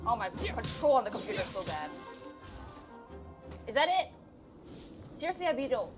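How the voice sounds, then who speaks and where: A woman streaming a video game with casual, sarcastic, sweary commentary and deadpan jokes. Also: a little high, normal speed. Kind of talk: casual live gameplay commentary. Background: video game music.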